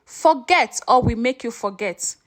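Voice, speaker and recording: A woman's voice: fairly high pitch, animated, measured pace. A woman storyteller playing a scoffing male cousin who makes veiled threats in a sneering manner. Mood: sneering menace.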